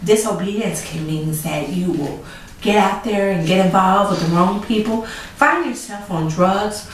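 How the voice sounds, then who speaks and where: A woman's voice: mid-range voice, unhurried. Children's storytime host, woman, middle-aged: emphatic, questioning delivery, very echoey, recorded from a distance. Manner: animated, emphatic, asks the listener questions. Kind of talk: children's storytelling and moral lesson. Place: small indoor room with chalkboard set. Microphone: camera mic a few feet away. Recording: echoey room, distant camera mic, faint noise.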